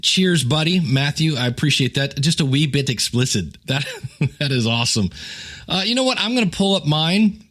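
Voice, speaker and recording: A man's voice: on the high side, lively intonation, moderate pace, fairly bright tone. A man casually hosting a podcast and chatting with contributors. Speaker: podcast host.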